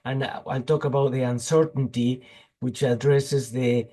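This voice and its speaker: A man in his fifties, hoarse from a cold, giving an informal technical talk over a video call, halting with many fillers. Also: medium pitch, fairly even intonation, mellow. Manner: halting, frequent fillers and restarts. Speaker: guest speaker, petroleum geologist.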